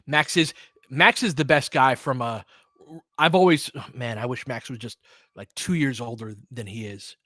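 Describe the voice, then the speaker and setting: A man hosts a live basketball audio show in a loose, conversational style. He gives opinions and addresses listeners directly in informal, slangy phrasing. His mid-pitched voice is recorded dry, with very little room sound. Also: moderate pace, bright, forceful tone, no echo. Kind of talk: casual live sports discussion with loose play-by-play.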